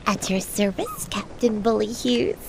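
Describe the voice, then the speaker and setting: A woman's voice: mid-range voice, very animated, a little slow, gravelly, noisy background. A woman playing a prim, genteel lady passenger in a pirate audio drama, refined and polite. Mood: gracious.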